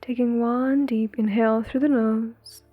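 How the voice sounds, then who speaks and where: A woman leading a guided meditation in a soothing, breathy, gentle way, leaving long pauses between phrases. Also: fairly high pitch, fairly even intonation, narrow, tinny audio. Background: faint music.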